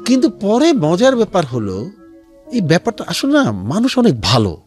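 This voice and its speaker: A man's voice: high-pitched, wildly swooping pitch, normal speed, a little mellow, faint music behind. A man with a Bangladeshi accent talking earnestly as a guest in a radio interview, explaining in a storytelling way and mixing in English phrases. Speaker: interview guest, founder of a charity resale platform. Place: radio studio.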